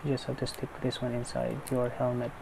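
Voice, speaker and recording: A man's voice: medium pitch, completely flat delivery, fairly quick, darkish tone. A man giving casual, unscripted unboxing commentary, thinking aloud in a tentative way. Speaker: unboxing video maker. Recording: clearly noisy background.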